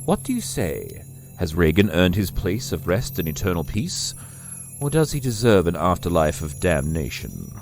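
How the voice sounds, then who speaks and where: A formal keeper of the afterlife. His low, gravelly voice swings widely in pitch as he reasons in measured words, over faint noise. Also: big pitch swings, a little mellow, faint music behind, full character voice. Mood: reasoning. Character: male afterlife judge, calm and reasoning.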